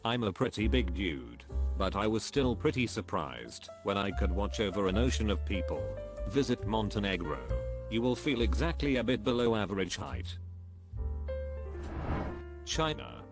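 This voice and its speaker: A man reading online posts aloud as scripted narration, in an even, flat delivery that spells out internet slang. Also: lowish voice, normal speed. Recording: voice-over with clear background music. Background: music bed.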